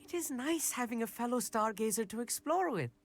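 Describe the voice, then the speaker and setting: A man's voice: very high voice, lively intonation, fairly quick. An actor playing an eager, bookish young male student who loves astronomy, earnest and a little pompous. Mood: content. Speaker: game character. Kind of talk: video game voice acting. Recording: game audio with faint music.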